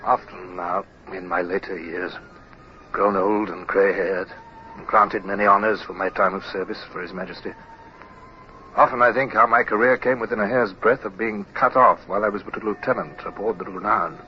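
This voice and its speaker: An actor playing a commanding, reflective naval lieutenant in an old radio drama, speaking crisply and with measured authority. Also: male voice, on the low side, steady tone, soft background music, natural voice. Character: brisk commanding young male naval lieutenant, reflective when narrating. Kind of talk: 1950s radio drama acting and narration. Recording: dull, hissy vintage radio recording.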